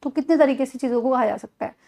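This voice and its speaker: Brief: Indian woman, high-pitched, a patient teacher who spells things out for beginners. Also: a little mellow. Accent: Indian English. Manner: patient, explains step by step, repeats example sentences slowly. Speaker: English teacher. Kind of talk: spoken English lesson in Hindi.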